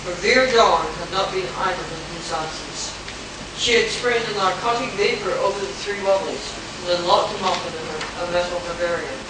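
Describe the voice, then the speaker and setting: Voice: medium pitch, moderate pace. Heard from far off in a reverberant, noisy room, a narrator reads a pulp adventure script live. The delivery is dramatic, with occasional stumbles over the words. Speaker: narrator of a radio play. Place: live convention stage in echoey room. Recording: very echoey hall, far-off mic, clear noise.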